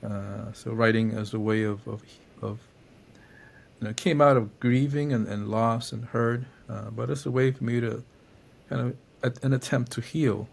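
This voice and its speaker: A middle-aged man from Cambodia, a writer answering interview questions in a reflective, halting way with many fillers and restarts. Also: mid-range voice, fairly even intonation, creaky. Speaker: poet and novelist guest.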